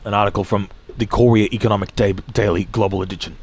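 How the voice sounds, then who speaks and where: A man streaming as he reads news articles aloud, with frequent restarts, throat clearing and dry offhand remarks. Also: on the low side, steady tone, fast, a little mellow. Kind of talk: reading articles aloud with asides.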